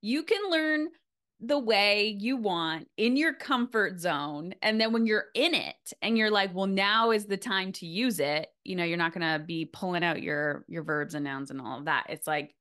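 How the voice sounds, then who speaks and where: A woman's voice: medium pitch, expressive, moderate pace, fairly bright tone. A woman hosting a podcast interview, chatting informally and peppering her talk with "like" as she reflects ideas back. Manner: informal, frequent "like", reflects back what guest says. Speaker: podcast host. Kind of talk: casual interview chat.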